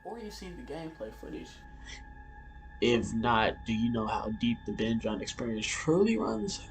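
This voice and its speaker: A man's voice: mid-range voice, big pitch swings, moderate pace. Casual man narrating over music, slightly stumbling. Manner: informal, stumbling over words, offhand asides. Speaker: YouTube video host. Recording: roomy echo, music behind. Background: background music.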